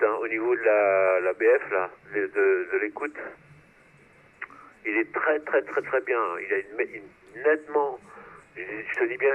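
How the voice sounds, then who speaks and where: A man chatting casually over amateur radio, repeating himself for emphasis, heard through a transceiver. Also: mid-range voice. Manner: emphatic repetition, frequent tag words. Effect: two-way radio transmission. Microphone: radio transceiver, heard through receiver. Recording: tinny, narrow-band two-way radio.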